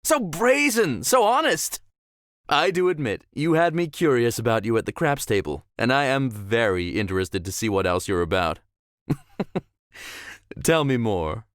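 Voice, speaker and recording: A man's voice: mid-range voice, sing-song, measured pace, dry recording, studio quality. A man voicing a suave, self-assured young billionaire in a visual novel, with formal, polished and theatrical phrasing. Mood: delighted. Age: young adult. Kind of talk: visual novel character acting.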